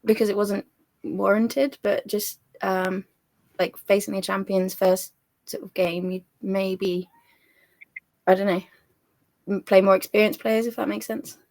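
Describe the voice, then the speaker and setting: Slightly hoarse woman, dry close sound. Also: medium pitch, little pitch movement, unhurried, pauses often, a little mellow, no echo. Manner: chatty, hesitant, self-correcting. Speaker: podcast panelist. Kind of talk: casual fan podcast chat.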